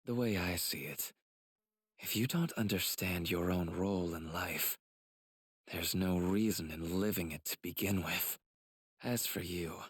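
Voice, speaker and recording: A man playing a cold, controlling young spider demon in an anime game, speaking softly and with condescension. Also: deep voice, breathy edge, no room sound, clean studio sound. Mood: threatening. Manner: menacing, condescending, deliberate. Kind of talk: English anime game voice acting.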